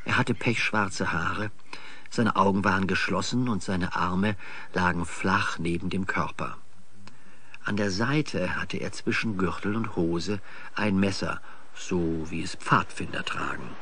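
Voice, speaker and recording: A man's voice: deep voice, a little slow, pushed and bright, slightly rough, heavily acted. A man giving scripted narration as the storyteller of a 1970s children's radio play.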